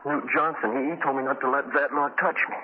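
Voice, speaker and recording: An actor playing an earnest, frightened young cabin boy with halting speech in a 1930s radio drama. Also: mid-range voice, steady tone, phone-quality sound, close to an everyday voice. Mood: pleading. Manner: halting, pleading. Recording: very noisy, thin 1930s radio recording.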